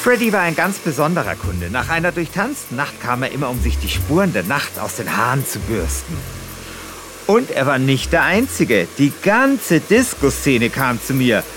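A woman's voice: very deep voice, big pitch swings, normal speed, over music. A woman playing a proud, theatrical hairdresser who reminisces and chats in a radio play. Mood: nostalgic, proud. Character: proud, chatty hairdresser, nostalgic for disco days.

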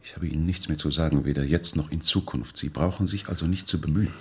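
A bitter grieving dad in a radio drama, super low husky voice, curt and distrustful. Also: male voice, quick pace, darkish tone, full character voice. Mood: cold, dismissive. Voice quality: very deep, husky. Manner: curt, dismissive, formal. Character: grieving, bitter, guarded father, a distrustful learned man. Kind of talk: radio play acting.

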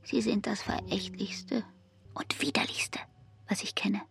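An actress playing a bitter, confiding barmaid in a literary radio drama, in a breathy, intense whisper. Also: female voice, mid-range voice, fairly even intonation, soft background music. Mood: hushed disgust. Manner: hushed, intense, slow.

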